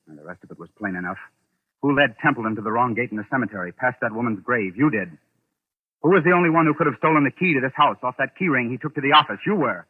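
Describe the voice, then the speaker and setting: An actor playing a shrewd, sardonic amateur detective in a 1940s radio drama, probing and articulate with dry irony. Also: male voice, medium pitch, animated, fast talker, slightly rough. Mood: cool, sardonic. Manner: probing, dryly ironic, articulate. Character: shrewd, sardonic amateur detective confronting a guilty young woman. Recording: muffled, band-limited vintage radio recording.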